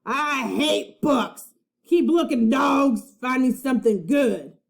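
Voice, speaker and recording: A woman voicing a gruff, greedy male pirate captain in a storybook, loud and bullying. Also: high-pitched, lively intonation, voice pushed up, heavily acted. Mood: angry. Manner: loud, bullying, drawn-out exclamations.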